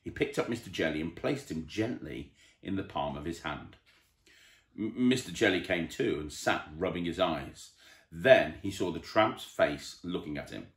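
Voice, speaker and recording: Male children's entertainer: storybook narration, highly varied intonation, audible room echo. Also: lively intonation, moderate pace. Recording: roomy, echoey indoor sound.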